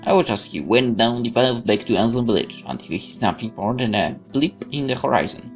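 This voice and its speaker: A man's voice: mid-range voice, animated, a little fast. A man hosting a let's play, expressively reading the game's text aloud with stumbles and asides, over music. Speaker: gaming video host reading the game text aloud. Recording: music playing behind.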